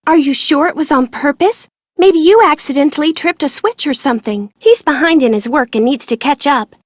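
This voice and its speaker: Woman as glum young woman, high voice, guarded, self-deprecating, video game dialogue, dry close sound. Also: normal speed, no echo, lightly acted. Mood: defensive. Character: withdrawn, glum young woman haunted by childhood loss.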